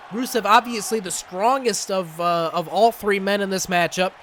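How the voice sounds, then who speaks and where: A young man doing excitable play-by-play commentary over a wrestling video game, coughing and saying he is losing his voice. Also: high-pitched, a little slow, slight background noise. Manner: excitable, rising to shouts at big moments. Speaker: gaming channel host and commentator.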